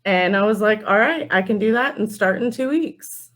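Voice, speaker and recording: A young adult woman speaking as an interview guest, telling stories casually and acting out quoted conversations in an animated way. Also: mid-range voice, moderate pace. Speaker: podcast guest, store general manager.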